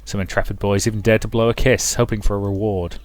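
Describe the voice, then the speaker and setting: A man's voice: fairly low pitch, flat delivery, quick pace. A man reading a visual novel aloud as dramatic story narration. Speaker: let's play narrator.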